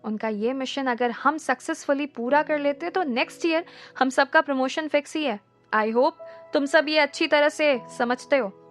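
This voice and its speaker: A woman voicing a harried adult male office boss who shouts in panic and drops English words into his speech. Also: high-pitched, moderate pace, soft background music, natural voice. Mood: businesslike.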